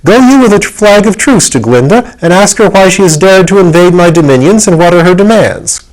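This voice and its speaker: Guy doing a proud jittery young queen from a fairy tale, bossy and dramatic, voice pushed up high. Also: animated, fairly quick, poor audio. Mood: defiant. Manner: imperious, dramatic, anxious. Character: proud, nervous young rebel queen, haughty but fearful.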